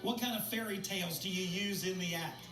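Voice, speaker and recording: A man's voice: high voice, a little fast, over music. Upbeat male host, roomy distant sound. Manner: upbeat, playful banter. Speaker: TV show host, interviewer. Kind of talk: casual broadcast interview chat. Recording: roomy, distant mic, faint noise.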